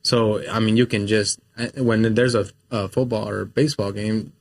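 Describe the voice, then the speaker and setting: Easygoing low-voiced man, rambling. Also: steady tone, moderate pace, gravelly. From Mexico. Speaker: guest, business owner. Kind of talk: casual chat.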